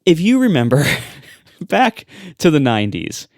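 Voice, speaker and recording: A man's voice: fairly high pitch, measured pace, no echo, studio quality. A man co-hosting a tech podcast, talking in an emphatic, skeptical rant. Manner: animated, emphatic, skeptical ranting. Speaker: podcast co-host. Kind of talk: casual tech chat.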